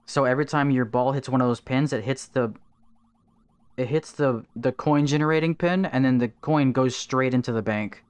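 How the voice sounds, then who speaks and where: A man streaming a game live from home, chatting casually, answering viewers and thinking out loud about his strategy. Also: medium pitch, moderate pace, smooth, dry recording. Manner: chatty, answers viewer chat while playing, thinks aloud. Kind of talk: casual livestream gameplay chat. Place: home, in Texas.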